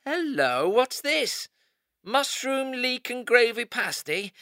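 A man putting on a cheeky young hare soldier, bright and lively, with plenty of rise and fall. It is a dry, close audiobook recording. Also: extremely high pitch, slow pace, dry recording, voice pushed up, heavily acted. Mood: teasing. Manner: cheeky and jovial. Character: hearty greedy young male hare soldier. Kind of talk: audiobook character voice.

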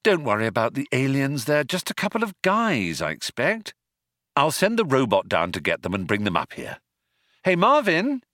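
A man's voice: mid-range voice, wildly swooping pitch, normal speed, a bit bright, no room sound, studio quality, pitched above the performer's own voice. Man voicing a patient, lilting, compassionate woman. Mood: reassuring. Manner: lilting, soothing.

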